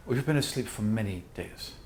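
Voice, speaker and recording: A man voicing a grave, guarded warrior woman in a measured, formal, cautioning way for a tabletop game. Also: on the low side, expressive, pitched below the performer's own voice, lightly acted. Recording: roomy echo with background noise.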